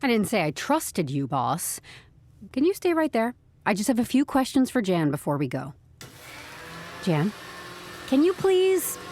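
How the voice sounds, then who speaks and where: An actress playing an eager, quick-witted amateur office investigator in an audio drama, earnest and wry. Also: female voice, on the high side, wildly swooping pitch, darkish tone, heavily acted. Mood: guarded.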